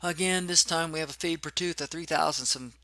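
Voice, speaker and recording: A hoarse man teaching as a machining instructor in a casual, rambling screen-recorded tutorial full of fillers. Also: fairly high pitch, fairly even intonation, brisk, pushed and bright, no echo.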